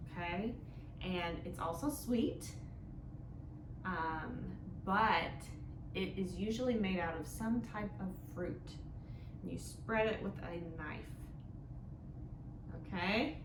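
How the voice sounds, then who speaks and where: Female children's storytime host, unhurried and instructive, asks simple questions; noisy, reverberant room recording. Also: mid-range voice. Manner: simple, instructive phrasing with frequent questions and check-ins. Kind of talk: interactive storytime for young children, with songs and guessing games. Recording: echoey room with clear background noise.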